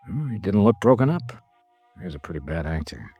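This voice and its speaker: An actor playing a tough, sardonic insurance investigator with an American accent, brisk and assertive, in 1950s radio drama style. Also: male voice, sits low, very animated, dark tone, breathy edge, muffled recording, faint music behind, full character voice. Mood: probing, wry. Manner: brisk, hard-boiled, assertive. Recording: restored old radio broadcast.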